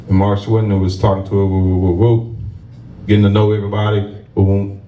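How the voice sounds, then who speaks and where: A man, a stage actor, tells a lively story to a live audience with laughs, then closes with motivational encouragement. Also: fairly low pitch, lo-fi sound, a little hiss or hum. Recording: roomy sound from a distant mic.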